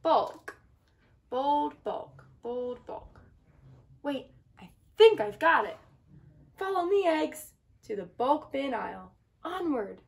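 A woman's voice: sits high, slow, vocal fry, long pauses, exaggerated voice. A woman voicing an anxious, bossy young egg in a picture-book read-aloud, fretful and dramatic. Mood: excited, commanding. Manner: dramatic, fretful, commanding, expressive. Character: anxious, bossy, earnest young egg leading his friends.

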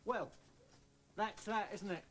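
An actor playing an easygoing, reluctant husband with an offhand, hesitant manner. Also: male voice, high voice, slow, fairly bright tone. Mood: resigned.

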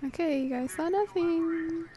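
A young woman doing casual gameplay commentary, muttering to herself with quick exclamations. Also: extremely high pitch, mellow, highs cut off. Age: young adult. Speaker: streamer playing a video game. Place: small indoor room. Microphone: close desktop microphone. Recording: clear game noise behind voice. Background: game sound effects and vehicles.

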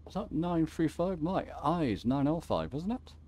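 Lively man teaching casually. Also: fairly high pitch, measured pace. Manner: informal, thinks aloud, self-correcting. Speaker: flight simulator tutorial host. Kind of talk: casual instructional screen-share commentary.

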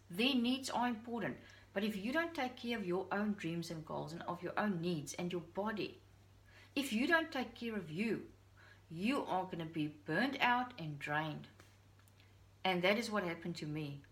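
A middle-aged woman with a Dutch accent speaks to the camera from her living room. She talks in an earnest, confiding way, sharing her thoughts as a parent vlogger. The voice is picked up by a camera a short way off. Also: lowish voice. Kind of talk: personal talk to camera. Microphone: camera on a stand at a short distance.

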